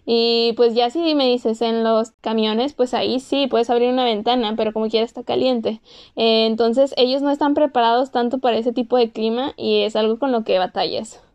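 Young Monterrey Mexican woman, fairly high, smooth clear voice, chatty and relaxed, filler-heavy. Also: normal speed, muffled recording. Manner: conversational, frequent "pues" and "o sea" fillers. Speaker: former exchange student. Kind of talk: casual first-person account.

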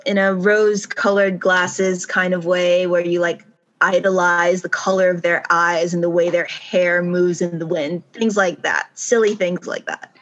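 A woman's voice: fairly low pitch, level tone, unhurried, a bit bright. Rambling woman on a dull lo-fi recording. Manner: rambling, self-correcting, laughs easily. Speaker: podcast co-host. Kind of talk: casual chat.

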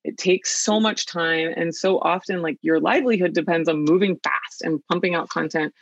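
An earnest woman speaks in a relaxed, conversational way, with frequent fillers and restarts as she gathers her thoughts. Her voice has a gravelly fry to it. The recording is dry and slightly dull, like a home microphone. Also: on the low side, normal speed, no room sound. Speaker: product team member. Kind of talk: casual conversational interview.

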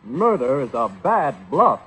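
A man's voice: medium pitch, sing-song, unhurried, loud music behind the voice, poor audio. A man announcing and narrating in a dramatic, scripted 1940s radio style.

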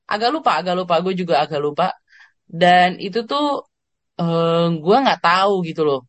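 An Indonesian man living abroad, chatting casually as a podcast guest, slangy and relaxed with hesitant fillers. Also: high voice, moderate pace, no room sound.

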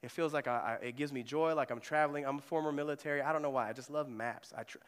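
A man's voice: mid-range voice, fast, clear, resonant tone, in a large hall. A male pastor preaching in a conversational way, often asking the congregation questions. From Germany. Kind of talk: sermon. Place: church sanctuary.

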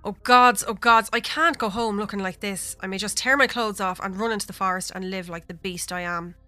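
A wailing, melodramatic god, put on by a woman storyteller, full of self-pity. His bright, forceful voice rises high and loud. The sound is dry and close, with faint music underneath. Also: fairly even intonation, moderate pace, clear, resonant tone, no room sound, lightly acted. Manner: wailing, theatrical. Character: melodramatic wounded male god, self-pitying.

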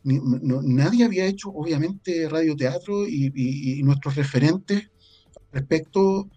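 A man's voice: fairly high pitch, measured pace, dark tone, slightly rough. A man with a Chilean Spanish accent, talking casually and reflectively as an interview guest, peppering his speech with colloquial slang. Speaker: guest, audio drama creator and scriptwriter.

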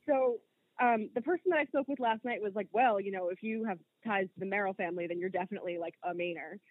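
A woman's voice: mid-range voice, no room sound, phone-quality sound. American woman in a close, dry recording. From Rhode Island, United States. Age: 30s. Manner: informal, candid, with hesitant pauses and hedging. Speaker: interview guest, political candidate. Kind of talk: casual personal conversation.

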